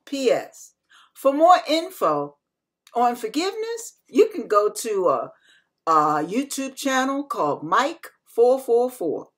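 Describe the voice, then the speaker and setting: Female minister for devotional read: unhurried, husky-edged voice, earnest and instructive. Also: a little high, big pitch swings. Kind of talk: scripted devotional sermon.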